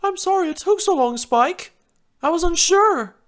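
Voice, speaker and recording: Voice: extremely high pitch, lively intonation, a little slow, voice pushed up. A narrator voicing an elegant, flustered adult female pony in a storybook reading, coy and hesitant. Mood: apologetic.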